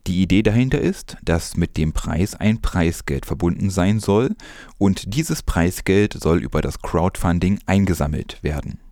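Deep-voiced man reading the news. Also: animated, a little slow, a little mellow, no echo, studio quality. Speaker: news presenter. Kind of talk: scripted news narration.

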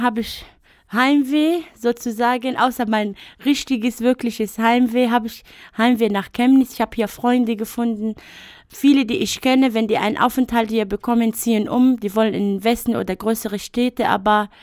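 A woman whose first language is Arabic talks in a radio interview, her voice sitting a little high. She speaks thoughtfully, pausing with fillers. The sound is dry and close. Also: darkish tone, no room sound. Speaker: interview guest. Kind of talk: radio interview, personal account.

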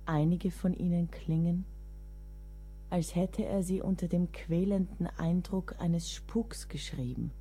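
An actress in a radio play playing a composer's composed companion, recounting memories in a measured, solemn, literary way. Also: female voice, on the low side, warm tone, slight background noise, lightly acted. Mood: solemn, reflective. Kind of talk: scripted radio play narration.

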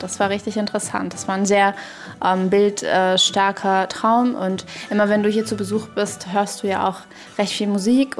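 A woman's voice co-hosting a podcast in casual chat, playful and ironic, wandering from thought to thought. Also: mid-range voice. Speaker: podcast co-host.

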